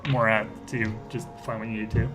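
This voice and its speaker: A man's voice: fairly low pitch, flat delivery, fairly quick, over music. A man chatting casually as he plays a tabletop roleplaying game, stammering a little as he reads and explains. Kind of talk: casual group roleplaying chat.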